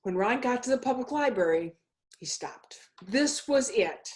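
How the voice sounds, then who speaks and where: A woman reading a children's story aloud in a deliberate, expressive way, as a guest minister. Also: on the high side. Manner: slow, deliberate, expressive phrasing. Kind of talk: storybook read-aloud for all ages.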